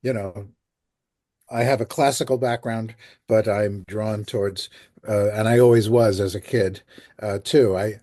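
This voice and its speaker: A man's voice: on the low side, steady tone, unhurried, warm tone, no room sound, studio quality. An older man answering interview questions as a composer, telling stories in a chatty way. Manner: chatty storytelling with light laughs. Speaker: guest, composer and lyricist.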